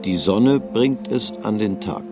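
Low-voiced male drama narrator. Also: music in the background. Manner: measured, unhurried storytelling. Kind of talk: literary radio drama narration.

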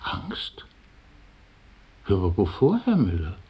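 A coaxing, husky, slow-spoken businessman. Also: fairly low pitch, huge pitch swings, mellow, full character voice. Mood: probing, earnest. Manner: persuasive, encouraging, rhetorical questions. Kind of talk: German radio play acting.

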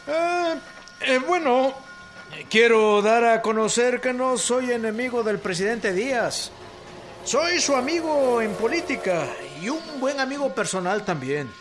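An actor playing a hesitant, guarded elderly general with a Mexican accent in a historical radio drama, halting as he speaks. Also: very high voice, lively intonation, a bit bright, soft background music, heavily acted. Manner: halting, throat-clearing, evasive.

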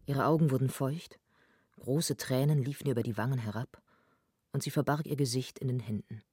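A man's voice: mid-range voice, brisk, a little mellow, breathy edge, no room sound, studio quality. A man reading literary narration in a radio play.

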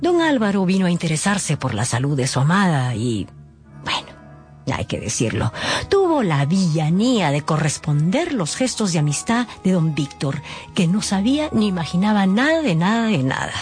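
A woman with a low, very animated voice narrates over faint music. She tells the story in a wry, knowing way, as if confiding in listeners. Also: normal speed.

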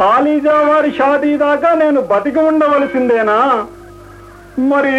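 An actor playing a garrulous, fawning comic servant of a prince in a radio play, theatrical and full of exclamations. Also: male voice, very high-pitched. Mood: pleading. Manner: exclamatory, theatrical, mock-pleading. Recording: muffled noisy old radio recording.

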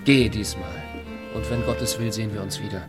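Radio drama: male actor as God-fearing companion, earnest and resigned, low voice, strong music underneath. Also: steady tone, a little fast, close to an everyday voice. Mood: concerned, hopeful. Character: pious male friend bidding farewell in plague times. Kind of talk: German radio drama acting.

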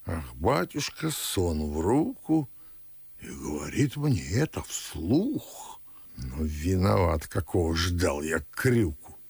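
An actor playing a fussy, grumbling older Moscow father and official in a verse radio play, with drawn-out declamatory delivery. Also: medium pitch, vocal fry, a little mellow, no echo, heavily acted. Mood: grumbling, troubled. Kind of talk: theatrical audio drama in verse.

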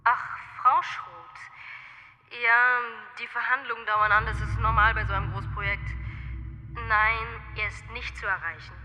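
Very bright, polished secretary. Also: female voice, fairly high pitch, fairly even intonation. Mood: polite, dismissive. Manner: formal, brisk, professional. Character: polished, evasive female architect's secretary. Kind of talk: radio drama acting. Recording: reverberant, faintly noisy line.

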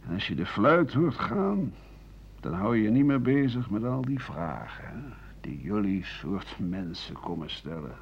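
A man playing a weary, embittered writer of 67 in a radio play, grumbling in halting phrases that trail into breathy murmurs. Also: on the low side, sing-song, heavily acted. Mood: resigned. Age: older. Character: embittered, weary 67-year-old French writer and doctor, pessimistic. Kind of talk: radio play monologue as interview answers. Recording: dull, hissy 1980 radio recording.

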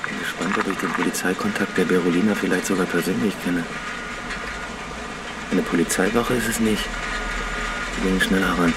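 An actor playing a wry detective making inquiries and muttering to himself in a German radio drama. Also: male voice, deep voice, fairly even intonation, a little fast, pauses often. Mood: pensive. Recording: distant voice in loud ambient noise.

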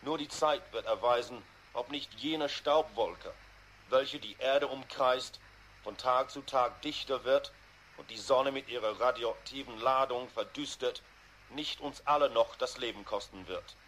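An actor as a grave male radio commentator with a mid-pitched voice. His delivery is measured and bitter, in eighties radio play style, with faint noise behind. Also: fairly bright tone, close to an everyday voice, rough recording. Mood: accusing, mournful. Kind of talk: 1980s radio drama, measured broadcast commentary.

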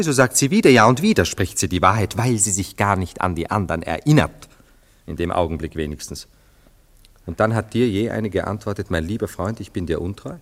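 A jealous gentleman lover in a classic radio play. His voice is low, his delivery theatrical and eloquent, drifting between brooding reflection and cynical, self-dramatising emphasis. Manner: theatrical, eloquent, musing monologues with emphatic swings. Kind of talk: German literary radio play acting.

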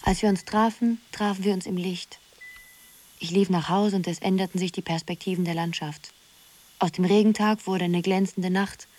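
An actress playing a dreamy, wistful young woman who muses haltingly in a poetic radio drama. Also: on the low side, steady tone, a little mellow, natural voice. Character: Zoe, dreamy young woman drifting through a surreal world.

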